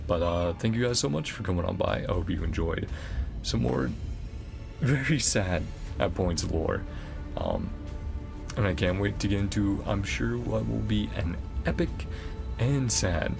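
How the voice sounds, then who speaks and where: A man's voice: on the low side, big pitch swings, unhurried, vocal fry. A man doing casual livestream commentary on a game, rambling and thinking aloud as he goes. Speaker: game streamer. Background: game music.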